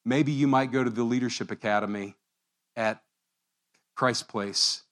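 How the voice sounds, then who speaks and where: A man's voice: mid-range voice, measured pace, a bit bright. A man addressing a church congregation in a conversational way, with frequent fillers. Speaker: church speaker.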